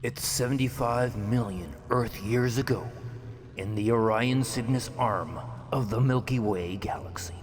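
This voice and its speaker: A man's voice: medium pitch, a little slow, natural voice. A man narrating a sci-fi parody as a portentous storyteller, with heavy reverb. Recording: long reverb, faint noise.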